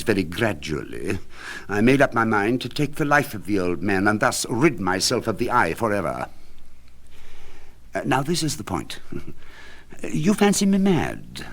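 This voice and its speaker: A man's voice: low voice, exaggerated voice. A man voicing a jittery madman swearing he is sane. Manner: lurches between hushed whispers and sharp outbursts. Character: nervous unhinged man insisting he is sane. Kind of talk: dramatic storytelling reading.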